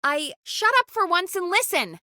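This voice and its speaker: A woman's voice: very high-pitched, big pitch swings, moderate pace, bright, forceful tone, dry recording, studio quality, exaggerated voice. A woman acting as an earnest, anxious but capable young radio producer in a video game, talking in a natural, conversational way. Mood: urgent, exasperated.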